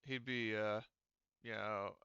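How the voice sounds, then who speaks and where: A man running a tabletop roleplaying game, narrating off the cuff and thinking aloud in a halting way. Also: fairly low pitch, steady tone, vocal fry, sharp tone, clean tone, no echo, highs cut off. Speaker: game master.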